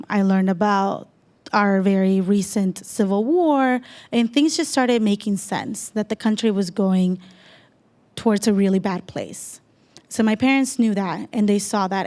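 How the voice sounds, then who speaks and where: A woman's voice: medium pitch, darkish tone. Earnest young woman from El Salvador. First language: Spanish. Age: 20s. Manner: earnest and reflective, with frequent ums. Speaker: speaker sharing her life story. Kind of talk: personal storytelling to an audience.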